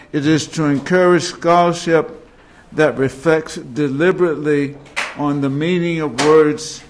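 A middle-aged man introducing a speaker at a podium microphone in a large hall, carefully reading a passage aloud. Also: fairly high pitch. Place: large university lecture hall.

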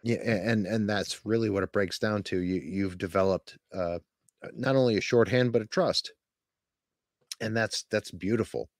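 A man's voice: fairly low pitch, normal speed, no echo, clean studio sound. A man hosting a podcast interview, talking in an earnest, rambling way with many restarts. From Pennsylvania. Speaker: podcast host. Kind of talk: casual interview.

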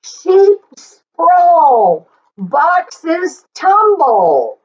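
A middle-aged woman reading aloud to young children in an animated, sing-song way, with encouraging asides. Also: high-pitched, dull audio. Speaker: children's story reader and teacher. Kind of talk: read-aloud for young children, repeating lines slowly. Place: small plain indoor room.

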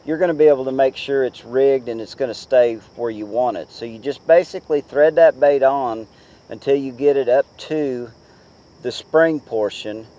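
Relaxed chatty man outdoors, mellow voice. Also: medium pitch, poor audio. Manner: relaxed, chatty, many "uh" and "you know" fillers. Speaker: pro angler giving fishing tips. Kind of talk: casual how-to talk to camera. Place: outdoors on a boat on a lake.

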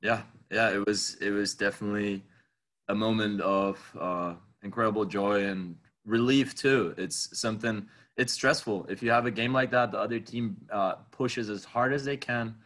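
A young man with a fairly low voice answers post-match questions as a college soccer player. He sounds tired from the game. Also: steady tone, moderate pace, a bit bright. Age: young adult.

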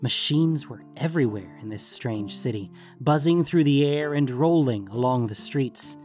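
A man's voice: mid-range voice, expressive, moderate pace, a little mellow, soft background music. A man reading scripted fantasy story narration as the narrator.

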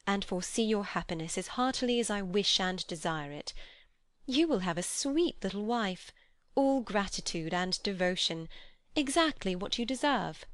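A woman reading an audiobook, voicing a vivacious, teasing young society lady with animated, exclamatory, inquisitive speech. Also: mid-range voice, no room sound, natural voice. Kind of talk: audiobook character dialogue.

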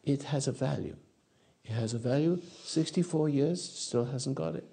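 A man in his eighties giving a rambling philosophical talk to an audience on a lapel mic, halting and repeating his words. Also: mid-range voice, vocal fry, warm tone, breathy edge. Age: 80s. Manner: gestures with hands while talking. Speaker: speaker answering audience. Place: dark stage or studio.

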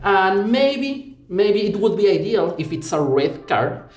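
A man's voice: high voice, very animated, takes it slowly. A man in his thirties with an Ecuadorian accent, speaking as a playful magician giving live close-up patter. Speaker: sleight-of-hand magician. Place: small indoor performance stage. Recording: echoey room with music behind.

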